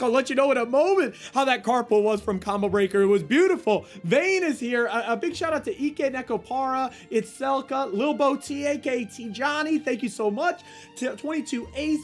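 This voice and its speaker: High-energy male stream host, slightly hoarse, fast stammering shout-outs over faint game music. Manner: hyped, rapid shout-outs with frequent stammered restarts. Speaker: tournament host and commentator. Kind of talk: energetic esports stream commentary.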